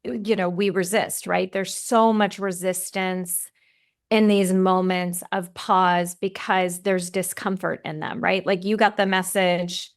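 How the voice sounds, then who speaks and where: A woman's voice: lowish voice, little pitch movement, slow pace. A woman hosting a podcast, reflecting in an easy conversational way and often closing her points with a question. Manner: reflective, ends points with "right?". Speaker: podcast host. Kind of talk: conversational interview.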